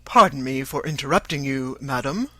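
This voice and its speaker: A narrator voicing a pompous young male suitor in an audiobook, speaking in formal, measured phrases. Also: mid-range voice, animated, sharp tone, no room sound. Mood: self-important. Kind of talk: audiobook character voice.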